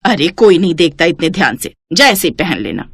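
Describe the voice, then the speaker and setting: A woman's voice: on the low side, big pitch swings, brisk, gravelly, breathy edge, dry recording, clean studio sound, voice pushed down, exaggerated voice. Woman acting lazy, grumbling middle-aged mother-in-law. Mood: dismissive. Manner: excuse-making, grumbling, bossy. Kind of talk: Hindi cartoon moral story acting.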